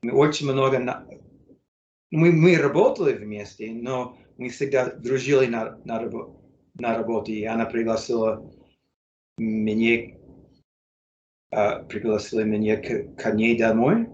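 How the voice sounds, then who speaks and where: Man, American accent, native English speaker, halting learner's speech, many fillers, echoey room. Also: mid-range voice, fairly even intonation, dull audio, a little hiss or hum. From United States. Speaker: interview guest, English teacher. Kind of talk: casual interview in learner's Russian.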